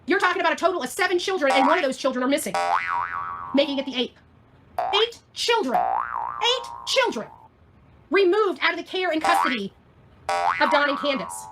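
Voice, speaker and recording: A woman's voice: high-pitched, heavy background noise. A woman ranting on a livestream in a combative, sarcastic, foul-mouthed way. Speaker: livestream host.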